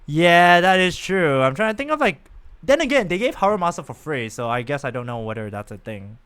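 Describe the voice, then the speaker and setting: A man's voice: on the high side, big pitch swings, fairly quick, a bit bright, clean tone. A man streaming a game, chatting casually and rambling to his viewers. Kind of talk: casual gameplay chat.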